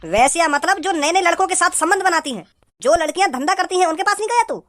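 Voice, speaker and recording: A man plays an angry cartoon dad with a high, pitched-up voice. He fires off stern scoldings and threats at a quick pace, full of indignation at his son. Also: lively intonation, fairly bright tone. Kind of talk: amateur comedy cartoon dubbing.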